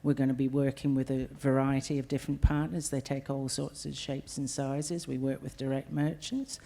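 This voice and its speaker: A woman with an Australian accent answering on a conference panel, her voice nearly gone, talking in a friendly, halting way. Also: rumbling low, flat delivery, vocal fry, mellow. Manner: friendly, halting, repeats words.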